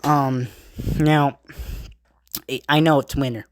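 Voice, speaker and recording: Guy with a stuffed-up nose rambling thru his podcast notes, mid-pitched voice, dry close sound. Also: fairly even intonation, no echo. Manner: rambling, halting, with many asides and self-corrections. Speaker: podcast host. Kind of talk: casual podcast monologue reading album facts.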